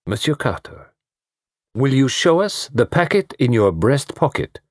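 A man voicing a fussy, commanding detective, pompous and precise, in a slightly husky voice. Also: mid-range voice, big pitch swings, a little slow, no echo, clean studio sound, pitched above the performer's own voice. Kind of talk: audiobook reading.

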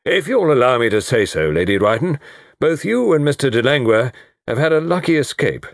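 A man voicing a brisk, stern middle-aged chief constable in a storybook, questioning in a clipped, official way. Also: mid-range voice, sing-song, no room sound, clean studio sound, voice pushed up. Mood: firm, brisk.

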